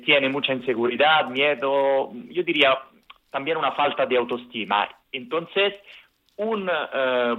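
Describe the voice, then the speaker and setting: Brief: male correspondent, mid-pitched, fluent and analytical, heard through a tinny phone line. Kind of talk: phone interview.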